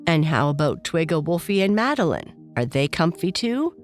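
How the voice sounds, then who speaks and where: A woman voicing a gentle, patient and loving father bear in a children's bedtime story, reassuring and playful. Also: low-pitched, sing-song, normal speed, faint music behind.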